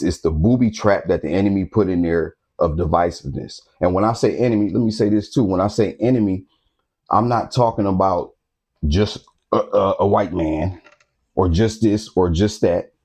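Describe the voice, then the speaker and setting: Host type, male, low mellow voice, preachy street-talk lecturer who keeps checking the audience follows. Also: breathy edge. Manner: street-talking preacher, repeats phrases, keeps asking "you understand what I'm saying". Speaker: host of a history talk channel. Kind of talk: casual lecture to camera.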